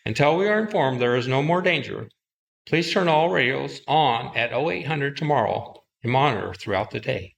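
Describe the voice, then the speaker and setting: Creaky-voiced man making formal radio announcements. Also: mid-range voice, lively intonation, normal speed, poor audio. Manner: formal, procedural. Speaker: radio dispatcher in a drill. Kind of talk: scripted radio procedure announcements.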